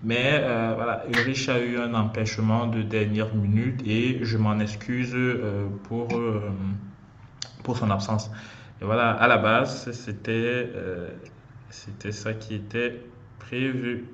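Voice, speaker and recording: A young man hosts a casual livestream, chatty and halting, calling out to his viewers. He speaks through a headset in a small room. The video-call audio is a little muffled, with a faint hiss underneath. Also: lowish voice, fairly even intonation, fairly bright tone. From Cameroon. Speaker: host of a student livestream.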